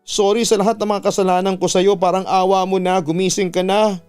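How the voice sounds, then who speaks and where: Casting: male narrator as grieving young older sister, high-pitched, clear, tearful and repetitive in pleas, radio drama. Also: level tone, fairly quick, a little mellow, faint music behind, lightly acted. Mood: tearful pleading. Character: grief-stricken young older sister pleading desperately.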